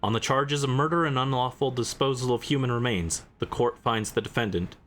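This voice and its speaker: A man's voice: medium pitch, fairly bright tone, slight background noise, lightly acted. A man voicing a stern, solemn judge presiding over a trial in a video game. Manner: solemn, formal, measured.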